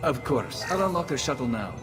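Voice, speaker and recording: A man's voice: medium pitch, very animated, fairly quick, natural voice. Man as a polite, awkward alien soldier, reverberant. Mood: uneasy, helpful. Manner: hesitant, deferential. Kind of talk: video game dialogue. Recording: long hall reverb, loud music behind.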